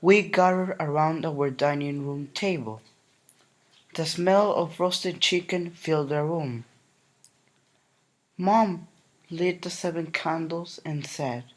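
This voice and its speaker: Slow gentle male storybook reader. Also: fairly high pitch. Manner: gentle, unhurried read-aloud. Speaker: narrator. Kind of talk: storybook reading.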